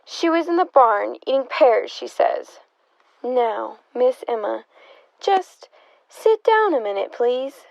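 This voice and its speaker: A woman's voice: a little high, sing-song, slow pace, creaky, a little mellow, muffled recording, exaggerated voice. A woman acting a nosy, sardonic interrogator in a radio drama, asking pointed questions in a wry, insinuating way. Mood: wry, needling. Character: nosy, sardonic, probing interrogator of a murder suspect's sister.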